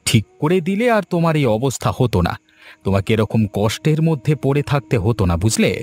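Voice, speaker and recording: A man's voice: medium pitch, sing-song, brisk, darkish tone, faint music behind, natural voice. A man dubbing an earnest, hot-headed and protective young villager in a cartoon. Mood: concerned, indignant.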